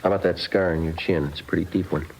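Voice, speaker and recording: An actor playing a terse, matter-of-fact American police detective in a 1950s radio crime drama. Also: male voice, sits low, steady tone, fast, vocal fry, a little mellow, a little hiss or hum, rough recording. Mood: stern, probing. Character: terse, no-nonsense male police detective narrating a case.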